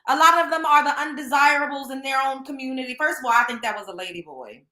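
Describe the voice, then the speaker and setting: A woman's voice: high-pitched, quick pace, pushed and bright, roomy sound. A young woman, hosting a show and giving a blunt, scolding commentary monologue that repeats its points. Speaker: show host.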